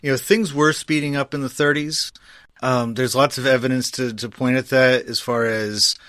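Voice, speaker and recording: Male podcast host, mid-pitched and conversational, informal history chat, dry recording. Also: fairly even intonation, moderate pace, fairly bright tone, no echo. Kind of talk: casual conversational history discussion.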